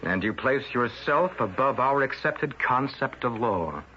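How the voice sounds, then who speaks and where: A man's voice: medium pitch, animated, a little slow, slight background noise, close to an everyday voice. A man playing an indignant city reporter. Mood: outraged. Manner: indignant, stammering when shocked. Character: defiant male city reporter, outraged. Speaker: newspaper reporter. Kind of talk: 1970s radio drama acting. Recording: dull old radio broadcast.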